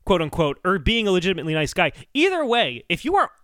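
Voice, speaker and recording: Animated, high-pitched man, bright podcast voice. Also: fast, clean tone, no echo, studio quality. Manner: sarcastic joking, animated, profane. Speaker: podcast co-host. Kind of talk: casual comedic podcast chat.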